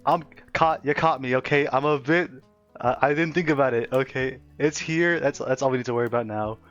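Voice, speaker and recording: A young man chats loosely with his stream, laughing often and trailing through fillers as he reads messages aloud. His voice sits at an ordinary middle pitch. The audio is a little dull and lo-fi, with faint music underneath. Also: a little fast, a bit bright. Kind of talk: casual livestream chat reading chat aloud. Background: faint background music.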